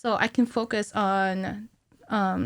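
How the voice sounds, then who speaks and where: A woman's voice: mid-range voice, measured pace, clean tone. A woman in her thirties explaining things casually as a podcast guest, with a giggly manner. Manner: giggly, animated hand gestures. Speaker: podcast guest, cinematic animator. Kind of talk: casual explanatory interview chat. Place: small indoor podcast studio with curtains. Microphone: studio mic on stand, headphones.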